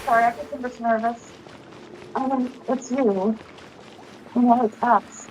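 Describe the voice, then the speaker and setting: A woman's voice: on the high side, steady tone, unhurried. A woman speaking, recorded with noise around her.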